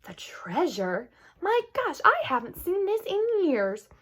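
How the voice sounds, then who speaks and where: A woman voicing a warm, nostalgic father in a storybook, exclaiming gently and wistfully. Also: very high voice, wildly swooping pitch, slow pace, pitched above the performer's own voice, exaggerated voice. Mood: amazed.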